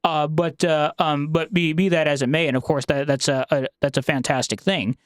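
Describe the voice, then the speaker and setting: Male podcast host, formal and long-winded, halting phrasing, smooth tone, close dry sound. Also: fairly high pitch, steady tone, no room sound. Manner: wordy, formal, halting with repeated words. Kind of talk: formal podcast introduction and interviewing.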